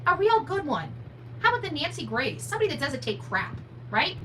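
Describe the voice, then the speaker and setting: A woman's voice: sits high, very animated, brisk, pushed and bright. A woman hosting a livestream commentary, speaking bluntly and combatively to her viewers with emphatic rhetorical questions. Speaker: livestream host, advocate commentator. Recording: distant mic, faint hum noise.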